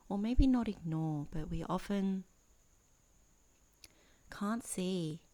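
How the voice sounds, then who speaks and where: Woman meditation teacher, deep mellow voice, earnest, talks in short phrases w pauses. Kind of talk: guided meditation introduction, Dhamma talk.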